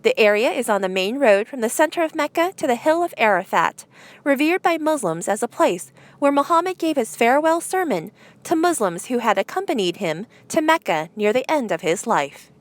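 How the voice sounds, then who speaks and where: A woman's voice: fairly high pitch, sing-song, moderate pace, dry recording. A woman presenting news headlines, reading from a script.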